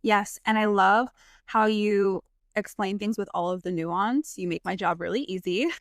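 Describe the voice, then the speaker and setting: A woman's voice: medium pitch, fairly even intonation, normal speed, dry recording, studio quality. A woman hosting an interview podcast over a video call, bubbly and effusive, laughing often. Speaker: podcast host and sales coach. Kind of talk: casual interview chat.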